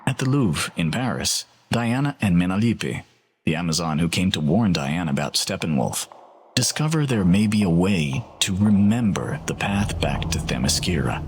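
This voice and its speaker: A man narrating a scripted story dramatically, with solemn, deliberate phrasing. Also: low voice, very animated, slight background noise. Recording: loud music under narration. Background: loud music.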